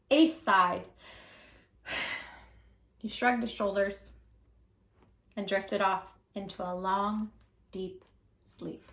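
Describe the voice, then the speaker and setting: A woman hosting storytime, reading a children's picture book aloud in a gentle, expressive, affectionate way. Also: mid-range voice, roomy sound.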